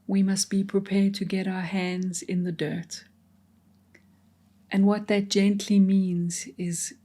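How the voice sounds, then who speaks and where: A woman leading a guided meditation in a slow, gentle and soothing way, often dropping into soft whispers between reflective pauses. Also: mid-range voice.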